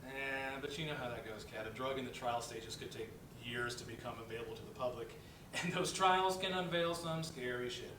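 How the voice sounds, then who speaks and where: A man's voice: fairly quick, close to an everyday voice. A man playing a wry, outspoken office worker in a dramatic reading of a play, speaking in a conversational, emphatic way. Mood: resigned. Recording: echoey room, distant mic, rumbling noise.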